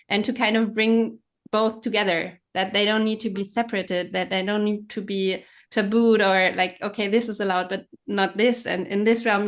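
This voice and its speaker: Reflective woman on a call. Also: medium pitch. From Germany. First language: German. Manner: reflective, searching for words. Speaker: podcast guest. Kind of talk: casual conversation.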